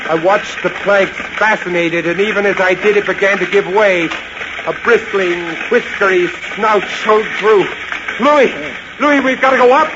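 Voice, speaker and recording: An actor playing a lighthouse keeper, narrating a horror tale with dramatic, breathless intensity and hesitant stammers in old-time radio style. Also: male voice, high-pitched, moderate pace, heavy background noise, close to an everyday voice. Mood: urgent terror. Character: male lighthouse keeper recounting a terrifying rat siege. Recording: dull old radio recording under loud music.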